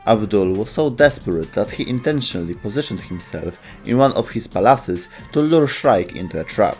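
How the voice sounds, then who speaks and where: A man with a fairly low voice reads scripted story narration as a narrator. Faint music plays behind him. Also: animated, normal speed, a little mellow. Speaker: narrator of game lore video show.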